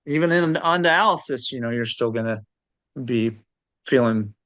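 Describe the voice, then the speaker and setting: A man's voice: medium pitch, normal speed, a bit bright, no room sound. A man speaking as an interview guest in casual, unscripted conversation, hesitant and thoughtful as he hedges his points. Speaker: interview guest, transplant recipient.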